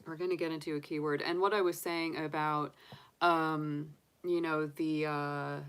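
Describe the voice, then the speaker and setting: A woman's voice: low-pitched, flat delivery, measured pace, fairly bright tone, smooth. A woman from the United States, casually chatting on a livestream as a tarot reader, rambling with many fillers and restarts. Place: home room with candles and sage.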